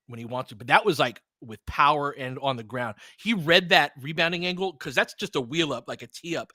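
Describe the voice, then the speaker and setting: A man hosting a live basketball watch-along, talking as an excitable, swearing fan who also analyzes the play. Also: mid-range voice, no room sound, studio quality. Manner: profane, excitable fan talk with analytical asides. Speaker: sports podcast host and analyst.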